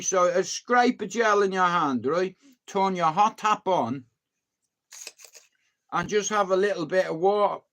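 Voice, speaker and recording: A man's voice: high voice, fairly bright tone. A young Irish man chatting casually with livestream viewers, joking and swearing. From Ireland. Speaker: livestream host.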